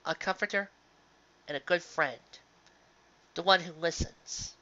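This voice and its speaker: A bright, forceful voice speaks slowly and earnestly to an audience. The delivery is halting and sentimental, leaning hard on key words with dramatic pauses. It sounds like a dull, lo-fi home recording. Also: medium pitch. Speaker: live reader of fan fiction. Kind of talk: earnest spoken commentary to an audience.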